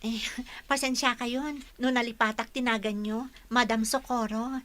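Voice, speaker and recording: A woman playing an earnest, bashful young student teacher in a radio drama, expressive, with a Filipino accent. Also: fairly high pitch, normal speed, close to an everyday voice. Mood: polite, gentle. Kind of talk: Ilocano radio drama acting.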